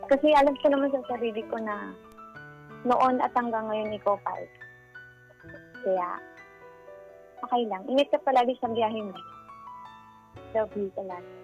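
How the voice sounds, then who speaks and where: A woman's voice: on the high side, measured pace, pauses often, dull audio, faint music behind. A woman with a Filipino accent speaking plainly and sincerely as a caller on a radio show. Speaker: caller, a housewife. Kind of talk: casual personal talk on a radio call-in.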